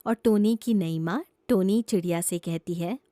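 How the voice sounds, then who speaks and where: A woman's voice: mid-range voice, a little slow, warm tone, no room sound, studio quality. A woman narrating a scripted children's story as a storyteller.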